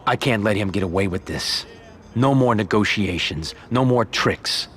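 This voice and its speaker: An actor playing a hard-edged mafia boss who speaks bluntly and with resolve. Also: male voice, lowish voice, lively intonation, moderate pace, fairly bright tone. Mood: vengeful. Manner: blunt, tough. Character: ambitious young male mafia soldier turned don, hard. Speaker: Dominic, mob protagonist.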